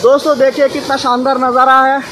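A man's voice: very high-pitched, flat delivery. A young man filming a casual travel vlog on his phone outdoors, chatty and addressing his viewers. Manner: chatty, repeats "दोस्तों" and "मतलब", addresses viewers. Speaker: vlogger. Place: outdoors by a forest stream and picnic shelter. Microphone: phone held at arm's length. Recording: noisy outdoor phone recording. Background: rushing stream water.

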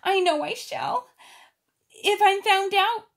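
An anxious, devoted young schoolgirl from a storybook, acted out by a woman reader. The voice is pitched very high, with an emotional, pleading delivery. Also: expressive, slow pace, gravelly, a bit bright, full character voice. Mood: tearful pleading.

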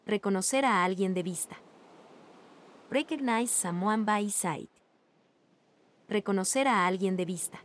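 A woman reading Spanish and English phrases slowly, one at a time, for language learners. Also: mid-range voice. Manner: reads each phrase slowly with long pauses. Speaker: language teacher. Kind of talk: slow repeated phrase drills.

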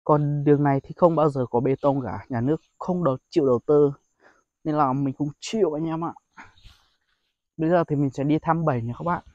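Young man, fairly high voice, casual outdoor vlogger, dry close phone audio. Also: fairly even intonation, brisk, a little mellow, dry recording, lo-fi sound. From Vietnam. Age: young adult. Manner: chatty, addresses viewers as brothers, frequent exclamations. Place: outdoors among rice paddies and hills. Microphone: phone held at arm's length.

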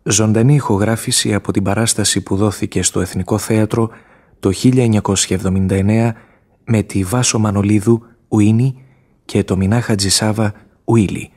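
Low, husky male radio announcer. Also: steady tone, a little mellow. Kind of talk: scripted broadcast introduction.

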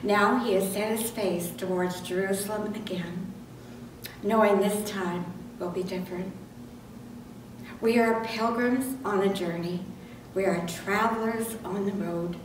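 An older woman reading liturgy and scripture aloud in a solemn, deliberate way as a lay reader. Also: medium pitch, steady tone. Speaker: lay reader in church service. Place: medium-sized church sanctuary, indoors. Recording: very echoey church, distant mic, noisy.

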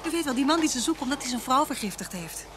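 Friendly, inquisitive schoolteacher: fairly high, sociable voice, noisy vintage radio drama. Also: female voice, animated, quick pace. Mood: chatty, curious. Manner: sociable, forthcoming, questioning. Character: chatty, friendly, inquisitive unmarried female schoolteacher. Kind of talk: vintage Dutch radio play acting. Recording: noisy old radio play recording.

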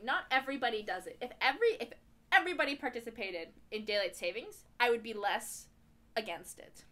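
A woman's voice: on the high side, sharp tone. A young woman co-hosting a podcast in casual chat with friends, giggly and opinionated. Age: young adult. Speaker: podcast co-host.

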